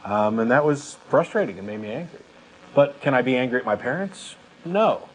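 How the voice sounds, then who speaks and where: A man's voice: mid-range voice, expressive, moderate pace, low-quality recording. A man co-hosting a talk show, speaking conversationally and emphatically as he reasons through his own views. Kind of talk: conversational discussion, personal reflection. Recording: clear background noise, room ambience.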